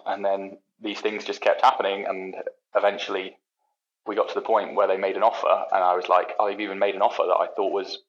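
A man's voice: sits low, highs cut off. A young man from the UK, a podcast guest, chatting casually and telling long rambling stories with plenty of restarts and self-deprecating asides. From United Kingdom. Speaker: podcast guest, racing engineer. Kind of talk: casual chat.

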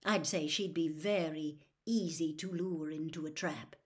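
A woman voicing a troubled adult male wizard who gives earnest, hurried warnings in a storybook reading. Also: low voice, fairly even intonation. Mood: urgent.

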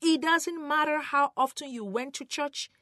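A woman's voice: high voice, moderate pace, pushed and bright, no room sound, studio quality. A woman teaching the Bible in a sermon style, emphatic and earnest, speaking directly to her listeners. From Cameroon, West Africa.

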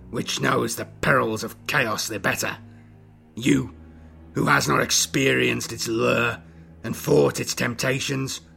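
A man voicing a scarred, corrupted older inquisitor in an audiobook, with a hissing, gravelly voice and a slow, grandiose, scornful delivery. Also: mid-range voice, sharp tone, exaggerated voice.

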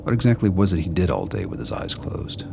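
A man's voice: low-pitched, huge pitch swings, brisk, creaky, noisy background. A man narrating a radio play as a scripted story in the third person.

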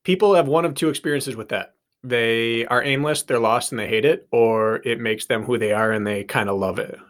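A man's voice: medium pitch, normal speed. A man hosting an interview, asking brief and direct questions.

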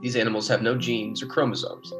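An actor playing an earnest expedition biologist in a radio science fiction drama, explaining insistently. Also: male voice, medium pitch, level tone, brisk, over music, close to an everyday voice. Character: earnest male expedition biologist, recording clipped field reports.

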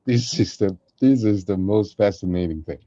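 A man with a dark, mellow voice speaks casually in halting, non-native phrasing. The recording is dull and lo-fi, with noticeable room echo around him. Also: fairly low pitch. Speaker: podcast co-host, radio play performer. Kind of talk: casual chat.

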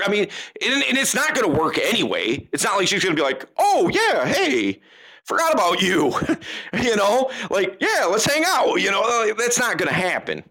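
A young man giving dating advice in casual chat, slangy and animated, acting out texts in silly voices. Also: sits high.

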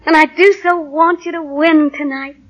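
An actress playing a patient, tactful, devoted wife in a 1940s radio comedy, gently coaxing her husband. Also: sits high, steady tone, normal speed, natural voice. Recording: muffled vintage 1940 radio recording.

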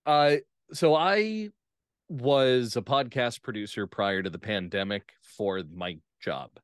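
A man speaking as an interview guest in casual chat, enthusiastic and often checking his points with a quick questioning tag. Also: medium pitch, clean tone, no room sound, clean studio sound. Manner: enthusiastic, punctuates points with "right?". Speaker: playwright and actor, podcast guest.

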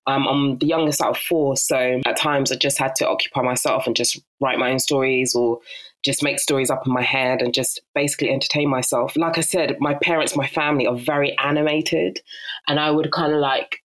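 Woman from Ghana, young adult, very low voice; lively podcast guest who laughs easily. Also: low-quality recording. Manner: animated, laughs often, many fillers. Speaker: podcast guest, actress and narrator. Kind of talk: casual interview chat.

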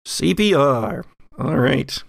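Male game master, slow-paced storyteller with vocal fry. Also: mid-range voice, expressive, no echo, studio quality. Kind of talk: narrating a tabletop horror game.

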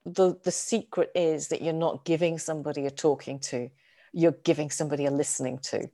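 Thoughtful woman, low voice, halting phrasing. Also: fairly even intonation, warm tone. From United Kingdom. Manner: thoughtful, frequent restarts and repetitions. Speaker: author and guest. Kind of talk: casual conversation.

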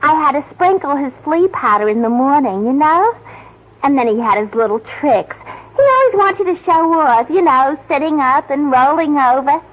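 An actress playing a scatterbrained young secretary who mixes up big words, in 1940s radio acting on a dull old broadcast. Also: female voice, high-pitched, animated, normal speed, slight background noise. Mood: chirpy. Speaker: detective's secretary.

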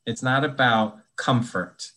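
A man of ordinary middle pitch speaks as a podcast guest. He explains at length in a thoughtful, conversational way, often restarting his sentences as he works out his point. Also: slow pace, sharp tone. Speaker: guest, anti-bias trainer.

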